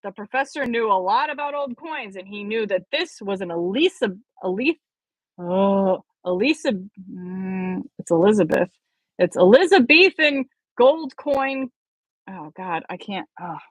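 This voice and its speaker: Amateur female audiobook reader, mid-range pitch, expressive storytelling, very dry recording. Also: a little slow, no echo, low-quality recording. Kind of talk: expressive story read-aloud.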